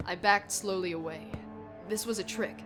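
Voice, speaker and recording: A woman's voice: medium pitch, normal speed. A woman giving an intense, theatrical first-person reading of a story. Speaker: amateur fan fiction reader. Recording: music bed behind the voice.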